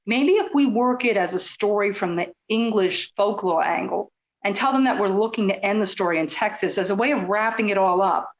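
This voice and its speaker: A woman playing an inquisitive, persistent journalist in a radio play, probing and brisk. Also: medium pitch, dull audio, close to an everyday voice. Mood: argumentative, determined.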